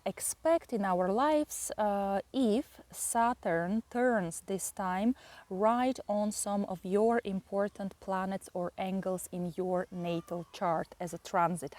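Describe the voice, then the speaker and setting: A woman with a Bulgarian accent, a native Bulgarian speaker, explaining astrology and mythology with many pauses and fillers. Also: mid-range voice, warm tone. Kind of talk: slow explanatory astrology talk.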